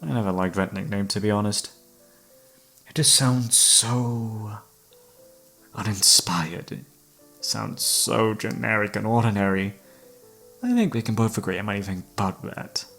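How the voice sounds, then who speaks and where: Low male voice, man acting obsessive killer, flamboyant, menacingly flirtatious, taunting, ASMR audio drama, faint music. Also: expressive, measured pace, creaky, long pauses, a bit bright, full character voice. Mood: boastful, indignant. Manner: theatrical, mock-polite, taunting.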